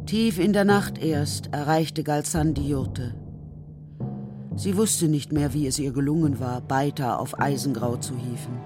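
Woman narrating a kids radio play, lower voice, measured and dramatic, bit of music under it. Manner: measured, dramatic literary reading. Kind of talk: scripted storytelling for a children's radio play.

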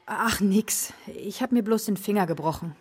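A woman's voice: mid-range voice, normal speed, darkish tone, slightly rough, dry recording, lightly acted. A woman playing a brusque, irritable, defensive working mother in a children's radio play, with an offhand, sarcastic way of talking. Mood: evasive, curt. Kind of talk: naturalistic German radio drama acting.

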